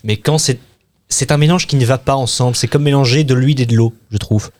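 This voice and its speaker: A man co-hosting a casual radio discussion, giving measured critical opinions. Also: medium pitch, a little mellow. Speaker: radio show co-host.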